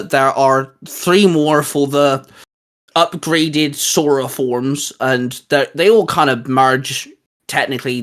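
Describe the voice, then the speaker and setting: A man's voice: mid-range voice, a bit bright. A man co-hosting a casual, geeky chat, talking in a rambling, enthusiastic and hesitant way. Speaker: host. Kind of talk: casual geeky chat rating video game forms.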